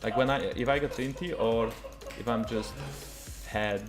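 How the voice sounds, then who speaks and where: A man's voice: medium pitch, steady tone, measured pace, music in the background. A man with a Polish accent giving casual live gameplay commentary as a streamer. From Poland. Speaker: video game streamer.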